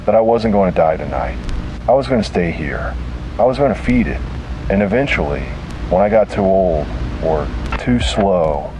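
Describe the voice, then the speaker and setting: A man narrating scripted first-person horror in a dramatic, deliberate and grim manner. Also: low voice. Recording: noisy, hissy analog tape sound.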